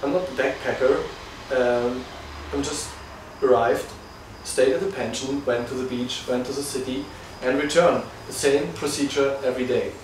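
Man from Berlin, thirties, relaxed plain talk, distant camera mic, echoey room. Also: medium pitch, moderate pace, few pauses, background noise. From Berlin, Germany. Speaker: guest. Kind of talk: casual interview. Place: living room of a guesthouse, indoors. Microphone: far camera.

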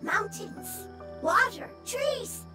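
A tiny, earnest mushroom creature from a video game, with an extremely high voice. It talks very slowly, in simple broken fragments. Loud music plays underneath. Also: female voice, expressive, full character voice. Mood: earnest, halting. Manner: halting, broken fragments of speech.

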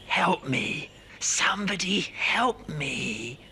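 Wicked demon king, sly, wheedling, slow, lively pitch. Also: slight background noise, full character voice. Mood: pitiful. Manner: sly, wheedling, then booming. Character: wicked demon king disguised as frail holy man.

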